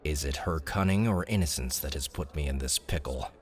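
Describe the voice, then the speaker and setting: A man's voice: very deep voice, a little fast, voice pushed down. A man voicing a shrewd, smug young noble in an audiobook, speaking in a glib, formal, commanding way. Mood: wry. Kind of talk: audiobook character dialogue.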